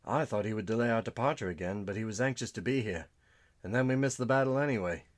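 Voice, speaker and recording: A man reading a brisk, worried noble. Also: lowish voice, voice pushed up, lightly acted.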